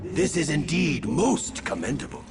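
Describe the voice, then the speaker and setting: Alien dignitary, lofty, courtly, creaky, expressive pitch, video game. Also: male voice, a little slow, heavy background noise, full character voice. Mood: pleased, lofty. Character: stately, gracious alien dignitary. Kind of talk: video game character acting.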